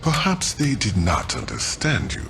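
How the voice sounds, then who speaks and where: An actor playing a coldly courteous crime boss in a video game, with gravelly, formal, threatening speech. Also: male voice, on the low side, wildly swooping pitch, a little slow, full character voice. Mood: cold menace. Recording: clear background noise.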